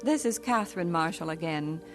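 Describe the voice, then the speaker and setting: A woman narrating her own story in a gentle, reflective 1940s radio drama style. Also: on the low side, animated, normal speed. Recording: vintage radio recording with music.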